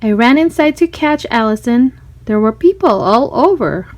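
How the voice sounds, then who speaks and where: A woman narrating a children's storybook in an expressive read-aloud style. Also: a little high, normal speed.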